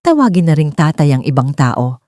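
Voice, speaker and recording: A woman's voice: low-pitched, sing-song, a little fast, darkish tone, dry recording, studio quality. A woman voicing an angry, bitter older mother-in-law who speaks with scornful accusation and hurt in a dramatic story reading. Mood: fearful, wounded.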